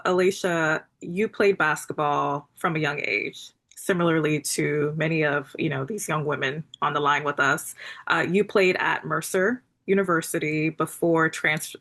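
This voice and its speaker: A woman's voice: low voice, a bit bright. A woman moderating an online town hall, thanking speakers and introducing the next guest.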